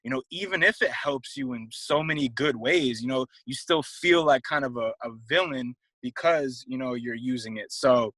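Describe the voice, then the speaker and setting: A man's voice: mid-range voice, normal speed, hardly any pauses, bright, forceful tone, no echo, low-quality recording. A man in his late twenties from Connecticut, a fitness trainer and guest, talking casually and rambling with lots of "um" and "you know". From Connecticut, USA. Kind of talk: casual interview talk.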